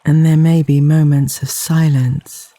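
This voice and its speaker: A man guides a yoga nidra meditation in a very soft, dark and relaxed voice, set slightly high for a male speaker. He speaks slowly and soothingly, leaving long pauses. The voice is close and dry, with flowing river water behind it. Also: dry recording. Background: flowing river water sounds.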